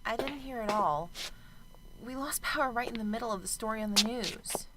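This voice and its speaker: A woman playing a gentle, concerned friend. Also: a little high, moderate pace, over loud music, close to an everyday voice. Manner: gentle, earnest, soft-spoken. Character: concerned young female college student friend. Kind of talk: amateur radio drama acting.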